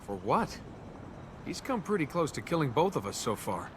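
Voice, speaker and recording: A man's voice: on the high side, sing-song, moderate pace. An actor playing a flippant, wisecracking young male adventurer in a video game, with an American accent. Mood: wry, sarcastic. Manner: flippant, sarcastic. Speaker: video game lead character. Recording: game audio over loud ambient noise.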